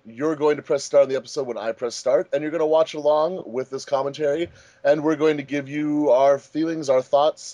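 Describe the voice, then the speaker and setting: A man's voice: on the high side, warm tone, no echo, muffled recording. A man hosting a casual commentary track as a fan dub director, chatty and jokey.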